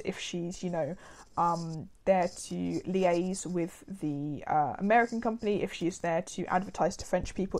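A woman giving a casual spoken review, her voice slightly raspy from a cold, rambling with many fillers and restarts. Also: lowish voice, a little mellow. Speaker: video reviewer.

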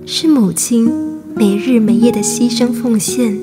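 A high-voiced, earnest schoolgirl, acted by a woman, tells her story in a radio drama. She is a striving teenage immigrant with a wistful way of speaking, over prominent music. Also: steady tone, unhurried, lightly acted. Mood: wistful, sorrowful. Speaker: voice actor and narrator. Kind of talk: radio drama acting and first-person narration. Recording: music behind the voice.

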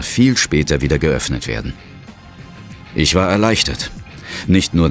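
An actor playing a resolute ghost hunter, recounting his adventure soberly and dramatically in a horror audio drama. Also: a bass voice. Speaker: first-person narrator.

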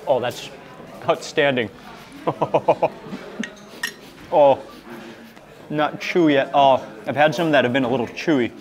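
A man in his thirties excitedly narrating a seafood meal for a food vlog, savoring each bite with hums and exclamations, recorded on a small clip mic in a restaurant. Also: medium pitch, slow pace, pauses often. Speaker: food vlogger. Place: restaurant dining room and outdoor grill. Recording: busy restaurant crowd behind. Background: restaurant crowd chatter.

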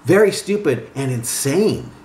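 A man voicing a furious brawler in his fifties in a story, shouting mocking taunts. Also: fairly high pitch, lively intonation, a little slow. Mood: angry. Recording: roomy echo, some background noise.

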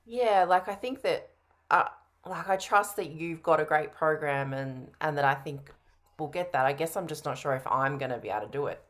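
Low-pitched, hesitant woman chatting. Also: moderate pace, fairly bright tone. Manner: hesitant, informal. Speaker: coaching client in a roleplay. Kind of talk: casual conversation, answering questions. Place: indoor room at home, on a video call. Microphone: video call.

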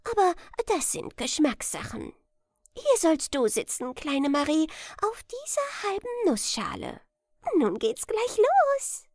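Woman voicing a high-pitched, brisk storybook mouse. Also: huge pitch swings, darkish tone, dry recording, clean studio sound, exaggerated voice. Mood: cheerful, instructive. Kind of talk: storybook character voice.

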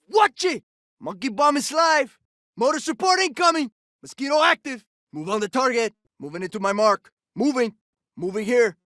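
A man's voice: high-pitched, sharp tone, dry recording, clean studio sound, full character voice. A man playing a cocky, wisecracking soldier in a video game, delivering short, punchy combat callouts. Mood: urgent shouting. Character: cocky, jokey male soldier, sporty ex-jock type. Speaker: video game operator character.

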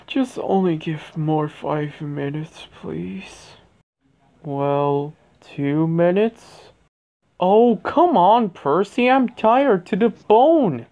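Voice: medium pitch, a little mellow, muffled recording. A performer voicing a lazy, playful cartoon fox big brother, with a drawling, theatrical delivery. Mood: sleepy, relaxed. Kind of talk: character voice lines, acted.

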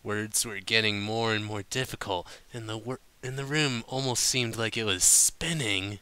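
A man reading a story aloud as an amateur narrator, in an animated, informal manner. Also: on the low side, normal speed, smooth, no room sound. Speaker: narrator, fan fiction reader. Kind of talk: amateur audiobook reading with casual asides.

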